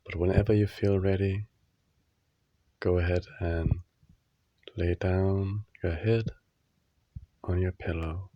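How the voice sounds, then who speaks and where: A man leading a guided sleep meditation in a soothing, instructional manner, leaving long pauses between short phrases. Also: low voice, steady tone, no echo, highs cut off.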